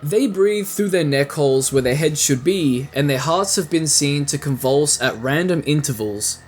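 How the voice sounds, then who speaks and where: A man's voice: fairly high pitch, expressive, moderate pace. A man narrating a scripted fan video essay in an explanatory way with casual asides. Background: music.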